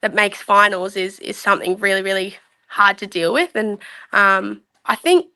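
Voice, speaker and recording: A woman's voice: medium pitch, fairly even intonation, normal speed, pushed and bright. A young woman, a rugby league player talking openly and easily as a podcast guest. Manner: open, easygoing, candid. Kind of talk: casual interview chat.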